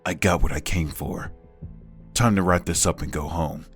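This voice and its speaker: Man as a low-voiced hardboiled vigilante. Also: normal speed, soft background music. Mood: cold resolve. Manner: terse, deadpan. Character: hardboiled, grim male vigilante. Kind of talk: comic book dub, noir monologue.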